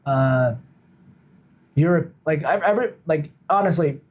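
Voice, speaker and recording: A man's voice: fairly high pitch, measured pace, a little mellow. A man talking casually as an interview guest, explaining his points informally and emphatically like a coach. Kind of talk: casual conversational interview talk.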